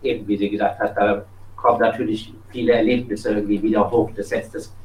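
A man adding an unscripted explanatory remark, hesitant and pausing often. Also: on the low side, flat delivery, darkish tone. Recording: echoey room, distant mic, background noise.

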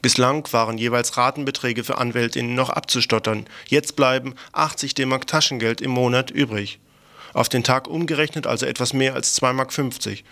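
A man's voice: mid-range voice, fairly even intonation, moderate pace, creaky, bright, forceful tone. A man presenting a scripted radio news report in a matter-of-fact, critical, faintly sardonic way.

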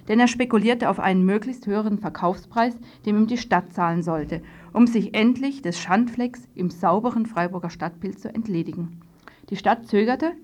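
A woman's voice: mid-range voice, darkish tone. A woman hosting a radio news magazine, presenting partly from a script and putting questions to guests. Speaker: radio host.

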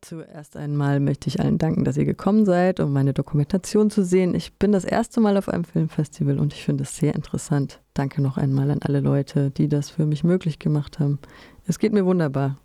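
A woman delivering a translated voice-over in measured, fluent and orderly sentences. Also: deep voice, creaky, dark tone, no echo. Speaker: voice-over interpreter.

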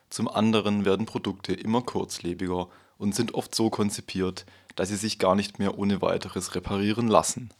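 A man narrating a radio feature in the first person and interviewing people. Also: low voice. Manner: calm first-person storytelling, then interviewing. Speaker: radio reporter.

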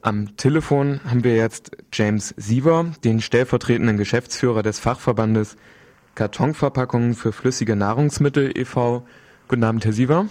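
Mid-pitched male radio presenter. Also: moderate pace, darkish tone. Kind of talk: scripted introduction and phone interview.

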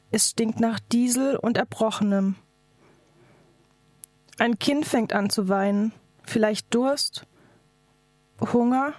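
A woman's voice: a little high, darkish tone, dry recording. A woman activist reading out a scripted political speech. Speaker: activist speaker.

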